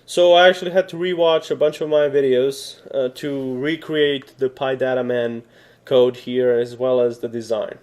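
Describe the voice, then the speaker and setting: A man's voice: medium pitch, steady tone, moderate pace, darkish tone. A man from Serbia giving a casual coding tutorial, rambling with many fillers and self-corrections. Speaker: programming tutor.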